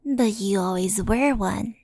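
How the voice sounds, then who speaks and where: A woman's voice: medium pitch, a little slow, a little mellow, no echo, studio quality. A woman voicing an earnest, secretive yokai sorceress in an ASMR roleplay, speaking in a hesitant, confessional, whispery voice. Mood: matter-of-fact. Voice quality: breathy, creaky, whispery. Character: earnest, secretive female yokai sorceress, devoted to her friend.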